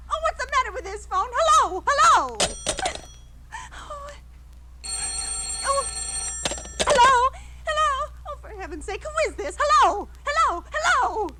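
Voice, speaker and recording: An actress playing a nervous, demanding, self-pitying middle-aged invalid wife in an old radio play, stammering and imperious in her agitation. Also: extremely high pitch. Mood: panicked. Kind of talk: 1940s-50s radio play acting. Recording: hissy old radio drama recording.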